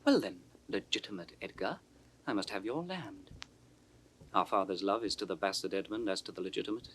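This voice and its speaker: An actor playing a scheming, bitter young nobleman's bastard son in classical Shakespearean style, theatrical and deliberate, sometimes dropping to a conspiratorial whisper. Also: low-pitched, animated, fairly bright tone, a little hiss or hum. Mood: defiant, brooding. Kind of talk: classical Shakespearean stage acting. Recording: 1960s studio audio drama recording.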